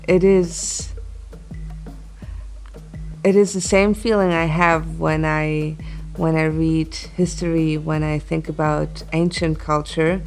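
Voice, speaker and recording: A woman from Chile, raised across Europe, speaks as an artist being interviewed, earnest and thoughtful, pausing mid-sentence. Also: deep voice, flat delivery, faint music behind. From born in Chile, grew up in Italy, England and Germany. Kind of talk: reflective interview talk.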